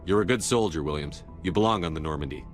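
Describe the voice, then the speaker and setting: A man playing a steady, modest military commander in a video game, firm and reassuring. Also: a bass voice, fast, soft background music. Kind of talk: video game dialogue acting.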